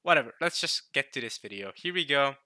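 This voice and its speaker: A man's voice: medium pitch, lively intonation, fairly quick, sharp tone, no echo, studio quality. A man from Norway hosting a casual reaction video, rambling and cutting himself off, laughing often.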